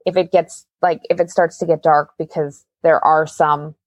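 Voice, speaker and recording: A woman's voice: deep voice, flat delivery, moderate pace, no room sound. A woman with a Canadian accent chatting casually and telling personal stories as an interview guest. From Calgary, Canada. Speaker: guest, magician's assistant and performer. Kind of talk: casual interview chat, storytelling.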